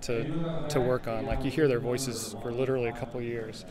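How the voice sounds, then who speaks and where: Medium-pitched man amid crowd chatter. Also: a little fast. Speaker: sound editor. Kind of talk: casual interview. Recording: busy crowd chatter behind.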